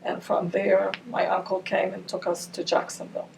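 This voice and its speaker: Older woman with a gravelly voice. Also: mid-range voice, monotone, normal speed. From Ramallah. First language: Arabic. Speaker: interviewee. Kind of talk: oral history interview. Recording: distant mic with faint noise.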